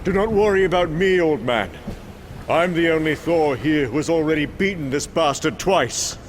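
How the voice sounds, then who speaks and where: A man voicing a boastful, hot-headed young Viking thunder god in a motion comic, loud and defiant. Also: fairly high pitch, moderate pace, slight background noise, close to an everyday voice. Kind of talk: heroic motion comic voice acting. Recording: music behind the voice.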